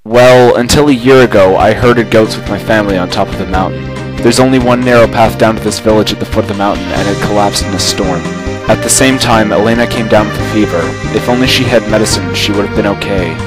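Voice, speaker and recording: A man's voice: on the low side, fast talker, close to an everyday voice, rough recording. A man voicing a proud, determined young dreamer who flies gliders, played earnestly for an anime fan dub. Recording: prominent music behind the voice.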